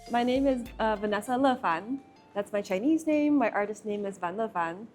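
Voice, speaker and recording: A woman's voice: medium pitch, normal speed, darkish tone, soft background music. A young woman from Taiwan, a sound artist, chatting casually about her life with many fillers. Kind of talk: casual conversation.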